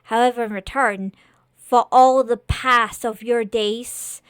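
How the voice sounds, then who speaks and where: A woman voicing a manipulative male demon lord, mocking and menacing, with drawn-out phrasing in a horror story. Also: fairly high pitch, fairly even intonation, clean tone, no room sound, clean studio sound. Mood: menacing, persuasive.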